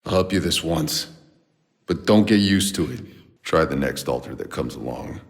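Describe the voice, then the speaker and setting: Game mercenary: extremely low, husky male voice, world-weary and sardonic, heard with long reverb. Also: animated, normal speed, heavily acted. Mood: weary, guarded. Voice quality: gravelly, husky. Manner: sardonic, gruff, laconic. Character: weary, cynical, foul-mouthed male sci-fi soldier mercenary. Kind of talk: video game voice acting.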